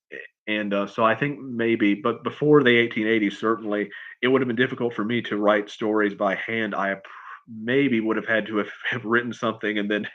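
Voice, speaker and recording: A man chatting casually on a livestream as a writer answering questions, in a halting, rambling way, often repeating and restarting his phrases. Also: fairly low pitch, dull audio. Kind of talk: casual unscripted chat, answering viewer questions.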